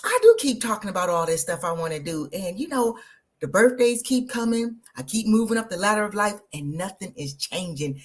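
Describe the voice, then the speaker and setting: A woman, hosting an energetic, motivational live stream and talking straight to her viewers. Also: medium pitch, fairly quick. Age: 50s. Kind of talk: live social media stream.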